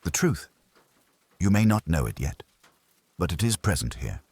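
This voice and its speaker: A man voicing an imperious, cerebral private detective in an audiobook, speaking in formal, pedantic, measured pronouncements. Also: deep voice, sing-song, a little mellow, slightly rough, dry recording, exaggerated voice. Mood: grave, deliberate. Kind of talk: audiobook character dialogue.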